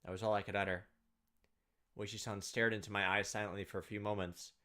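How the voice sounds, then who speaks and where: A man's voice: fairly low pitch, flat delivery, fast talker, sharp tone. Man reading a visual novel aloud, dramatically.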